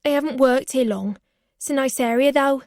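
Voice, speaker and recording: A woman's voice: high-pitched, normal speed, darkish tone, no room sound, studio quality, pitched above the performer's own voice. A woman voicing a sly young barman. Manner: casual, wheedling, slangy.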